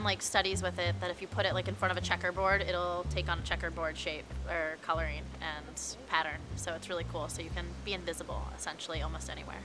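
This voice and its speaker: Giggly, animated young-sounding woman. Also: on the low side. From Ohio. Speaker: interviewee. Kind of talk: street interview answers.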